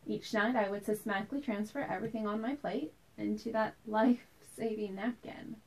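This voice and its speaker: A woman performing a theatrical monologue as a wistful, anxious woman recalling tense family dinners from her childhood, told deliberately with dramatic pauses. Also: mid-range voice, steady tone, close to an everyday voice, poor audio. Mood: wistful, uneasy.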